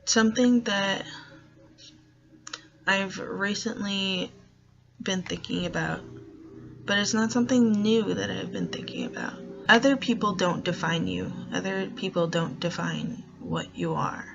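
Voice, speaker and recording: Young man with a soft feminine-sounding gravelly voice, slow. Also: high voice, expressive, muffled recording. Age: young adult. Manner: reflective, hesitant, searching for words. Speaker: college student and grocery worker. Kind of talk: personal documentary monologue.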